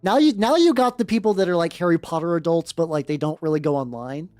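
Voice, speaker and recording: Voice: mid-range voice, quick pace, a little mellow. A VTuber livestreamer chatting casually and reading fan fiction aloud in a rambling, sardonic, theatrical way. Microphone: close dry streaming mic. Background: faint background music.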